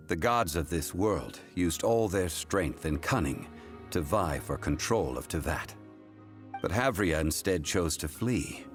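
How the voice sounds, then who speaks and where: A man voicing a calm, formal, ancient gentleman who speaks in measured, deliberate sentences in a video game. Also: sits low. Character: ancient, composed male consultant of contracts.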